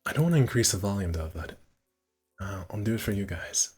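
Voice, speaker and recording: A man's voice: lowish voice, expressive, a little fast, husky, faint music behind. A man casually streaming a visual novel, reading the narration aloud and correcting himself with muttered asides.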